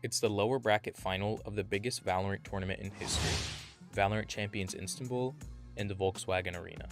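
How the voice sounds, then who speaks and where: A man reading scripted esports documentary narration in a measured, serious storytelling manner. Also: fairly low pitch, steady tone, over music.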